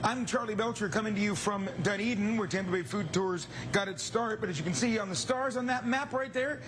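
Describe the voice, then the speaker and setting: High-voiced enthusiastic male TV reporter. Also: fairly quick, background noise. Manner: enthusiastic, chatty, repeats himself. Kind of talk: upbeat live morning TV feature chat.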